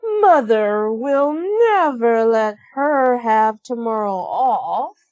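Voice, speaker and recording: A woman voicing a spiteful young stepsister in a storybook, with demanding, drawn-out calls. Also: a little high, expressive, a little mellow, no room sound, lo-fi sound, pitched above the performer's own voice. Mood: scheming. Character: bossy, spiteful young stepsisters ordering chores.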